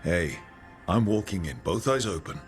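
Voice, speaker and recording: An actor playing a steady, willing male adventurer hero in a video game, straightforward and easygoing, over music. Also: deep voice, moderate pace. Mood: easygoing, resolute.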